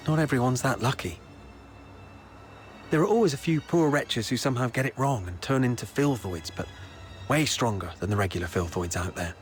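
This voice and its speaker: An actor playing a young town escort guard in a video game, anxious, chatty and world-weary but soft-hearted, explaining things conversationally. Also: male voice, on the low side, natural voice.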